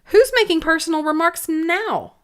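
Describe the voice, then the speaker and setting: A woman's voice: extremely high pitch, moderate pace, voice pushed up. A woman voicing a mournful, argumentative mad hatter from a storybook in a dramatic way. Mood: triumphant.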